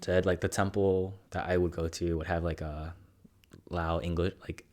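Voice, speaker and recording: A man hosting a casual podcast interview, relaxed and joking, often stacking quick "yeah"s as he listens. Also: low-pitched. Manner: quick strings of repeated "yeah" as backchannel. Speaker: podcast host.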